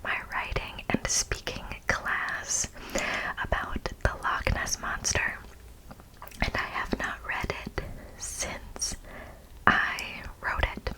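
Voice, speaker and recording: A woman hosting ASMR in close whispers to the microphone, chatting casually and excitedly and often repeating words. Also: takes it slowly, low-quality recording. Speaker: ASMR video host.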